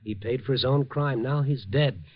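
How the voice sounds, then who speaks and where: A man's voice: medium pitch, normal speed, a crowd in the background, natural voice. An American actor playing a streetwise, compassionate newspaperman in a hard-boiled 1950s radio drama, firm and reassuring. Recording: muffled old radio recording.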